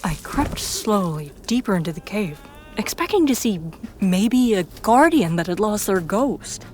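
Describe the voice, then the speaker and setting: A woman reading scripted first-person fiction in a measured storytelling manner. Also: mid-range voice, very animated, darkish tone, slight background noise. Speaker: story narrator.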